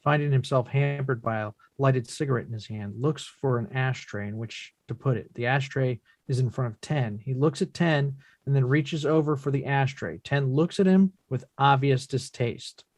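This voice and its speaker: A man's voice: medium pitch, normal speed, few pauses, a little mellow, no echo. A man reading stage directions as scripted narration for a drama table read.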